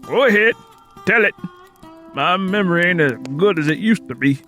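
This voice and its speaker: A man acts out a resigned, cautious older man from the American South in an audiobook drama. His voice is high and forceful, and he lectures in emphatic rural dialect. Music plays underneath. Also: lively intonation, normal speed. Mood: prodding. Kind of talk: acted audiobook character dialogue.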